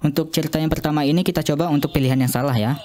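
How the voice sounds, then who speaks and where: A young man narrating an informal software demo as a student, speaking haltingly and repeating himself. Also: a little high, steady tone, slight background noise. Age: young adult. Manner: halting, repetitive. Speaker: student demonstrating own app.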